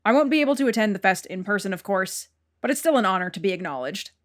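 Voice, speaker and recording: A woman's voice: medium pitch, lively intonation, quick pace. A woman hosting a podcast, reading scripted announcements to listeners. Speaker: podcast host and producer.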